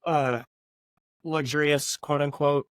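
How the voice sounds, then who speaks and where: A man co-hosting a podcast in casual book chat, speaking haltingly and often repeating words as he goes. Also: on the high side, steady tone, fairly bright tone, no echo. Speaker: podcast co-host.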